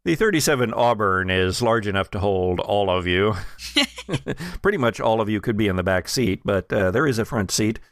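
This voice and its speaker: A man narrating a tabletop game as game master, casual and jovial, with a creaky voice. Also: lowish voice, lively intonation, normal speed, a bit bright, no room sound, clean studio sound.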